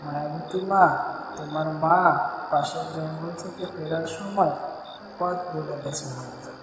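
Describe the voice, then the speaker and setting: A performer playing a gentle, protective pigeon uncle in a children's cartoon, consoling and explaining things. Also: medium pitch, a little slow, natural voice. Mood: reassuring. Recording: very echoey long reverb, music behind.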